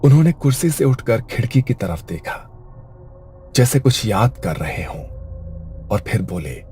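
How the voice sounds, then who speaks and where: A man's voice: on the low side, very animated, measured pace, warm tone, soft background music. A man narrating a scripted horror story in a suspenseful storytelling manner.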